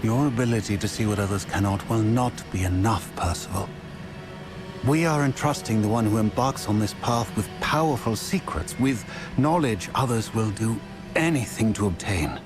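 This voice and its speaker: An actor playing a solemn, cautious wizard professor in a fantasy video game, speaking over music. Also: male voice, on the low side, lively intonation, normal speed, lightly acted. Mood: solemn, wary. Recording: music bed under the voice.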